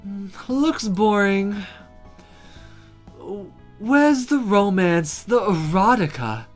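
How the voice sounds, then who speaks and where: Woman voicing a sultry, slow-drawling flirty demoness. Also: medium pitch, lively intonation, faint music behind, voice pushed up. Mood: teasing. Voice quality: breathy. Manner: drawn-out, suggestive purring delivery.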